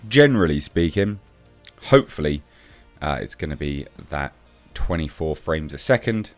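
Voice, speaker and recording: Male reviewer, low-pitched voice, blunt sceptical off-the-cuff commentary over clear background music. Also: big pitch swings, moderate pace. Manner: blunt, sceptical, swears casually. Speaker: film reviewer, channel host. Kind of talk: casual off-the-cuff commentary on film news.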